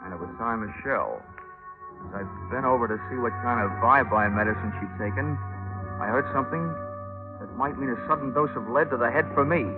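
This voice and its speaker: A man's voice: mid-range voice, brisk, close to an everyday voice. Man acting wry hardboiled radio detective, vintage sound. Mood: tense wry narration. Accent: American. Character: wisecracking hardboiled male insurance investigator narrating his case. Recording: dull vintage radio recording with loud music.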